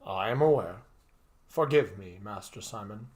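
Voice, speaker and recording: Story role, read by a man: loyal butler, polite and composed, formal measured delivery. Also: fairly low pitch, expressive, a little mellow, voice pushed down. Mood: gentle, formal. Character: devoted, formal male butler, calm and dutiful.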